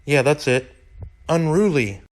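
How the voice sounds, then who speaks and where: A man's voice: on the high side, animated, slow pace. A man playing a hesitant, evasive coworker in an audio comedy skit. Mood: flustered.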